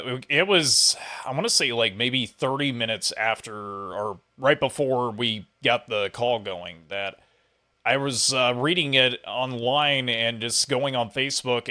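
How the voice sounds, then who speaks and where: A man's voice: mid-range voice, bright, forceful tone. A man hosting an interview podcast, talking in a casual conversational style.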